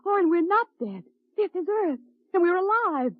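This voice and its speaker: An actress in a 1950s radio drama, playing an earnest young wife who has survived the bomb, with a breathy, eager way of talking. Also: female voice, very high-pitched, sing-song, moderate pace, no echo. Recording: tinny, dry old radio broadcast.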